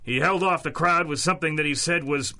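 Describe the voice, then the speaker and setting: A man's voice: fairly high pitch, fairly quick, creaky, sharp tone, exaggerated voice. A man acting an exasperated, sardonic senior policeman with a dry, commanding manner in a 1930s-style radio drama. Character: exasperated, sardonic veteran police officer in charge.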